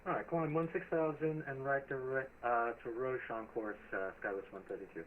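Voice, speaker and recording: A man playing a pilot, giving relaxed readbacks with small fillers over the radio. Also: medium pitch, moderate pace. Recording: tinny radio with faint hiss.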